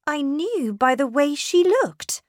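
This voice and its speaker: An anxious young governess in a storybook tale, as a woman narrator plays her, pitched very high and swooping with emphasis. She is insistent and impatient, easily horrified, and urgent in her delivery. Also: big pitch swings, takes it slowly, no echo, clean studio sound, full character voice.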